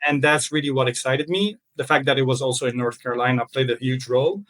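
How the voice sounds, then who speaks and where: A man's voice: mid-range voice, fairly even intonation, fast, fairly bright tone. A young man from Lebanon, a podcast guest on a video call, speaking casually and hesitantly, with many fillers and restarts. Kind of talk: casual interview.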